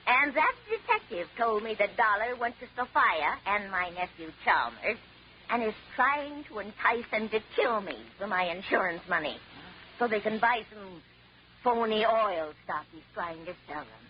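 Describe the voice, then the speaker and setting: A woman's voice: mid-range voice, big pitch swings, normal speed, lightly acted. A woman acting a bossy, primly vengeful old grandmother in a 1940s radio drama, imperious and scolding. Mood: cold scheming. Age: older. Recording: muffled, hissy 1940s radio recording.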